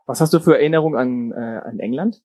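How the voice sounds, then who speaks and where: A man's voice: mid-range voice, quick pace, a little mellow, no echo, studio quality. A man asking questions as an interviewer in a casual, conversational way.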